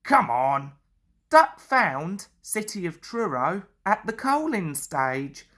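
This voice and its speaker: A woman's voice: mid-range voice, big pitch swings, slow, fairly bright tone. A woman narrating a children's story as its storyteller.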